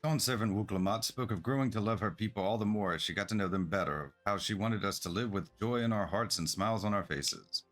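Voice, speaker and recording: A man's voice: fairly low pitch, fairly quick, few pauses, fairly bright tone, lightly acted. A man reading the part of an eager, polite merchant in a game, with effusive, formal sales patter. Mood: enthusiastic pitch. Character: eager, polite merchant founder of a travel agency. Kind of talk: game quest dialogue read aloud. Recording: music behind the voice.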